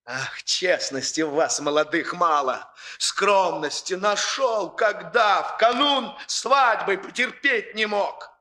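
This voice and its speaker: High, bright-voiced stern boss. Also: male voice, slow, few pauses, full character voice. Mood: stern accusing. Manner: hectoring, emphatic, preachy. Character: stern moralizing male boss, scolding the young. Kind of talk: 1960s Soviet radio play acting.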